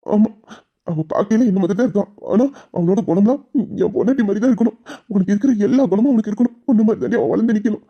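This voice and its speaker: A woman acting a tender, protective young husband and new father in a melodramatic audio drama, with a dark husky voice. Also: medium pitch, rapid-fire. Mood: earnest, loving. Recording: dull, muffled lo-fi sound.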